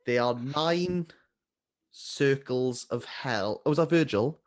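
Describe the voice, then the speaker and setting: Male co-host for a book vlog, middle pitch, unscripted and conversational, teasing with dry playfulness. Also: slow pace. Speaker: book vlogger and readalong co-host. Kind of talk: casual unscripted book chat.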